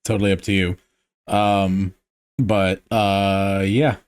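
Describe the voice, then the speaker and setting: A man's voice: low voice, little pitch movement, slow pace, clear, resonant tone, dry recording. A man hosting a casual podcast conversation, talking hesitantly with many fillers and restarts. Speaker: podcast host. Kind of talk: casual group chat.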